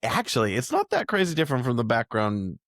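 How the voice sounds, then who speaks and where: A man's voice: medium pitch, wildly swooping pitch, fast, a bit bright, no room sound, clean studio sound. An American man hosting a podcast, talking through topics in a casual discussion. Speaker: podcast host.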